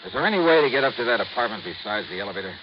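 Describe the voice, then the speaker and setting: A man's voice: lowish voice, sing-song, rapid-fire, noisy background. An actor playing a terse, hardboiled male investigator who narrates in clipped lines, in a 1950s radio drama. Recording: muffled old radio broadcast.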